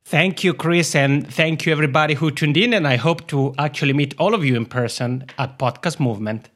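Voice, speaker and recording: A man's voice: a little high, fairly quick, fairly bright tone. A man with an Italian accent, a podcast guest chatting casually and laughing often. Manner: friendly, laughs often while talking. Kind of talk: casual interview chat. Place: lives in Finland.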